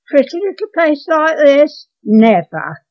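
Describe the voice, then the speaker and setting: A woman's voice: high-pitched, big pitch swings, slow pace, highs cut off, voice pushed up, full character voice. An elderly woman voicing a down-to-earth, amused female cleaner who exclaims in disbelief, in a read-aloud story.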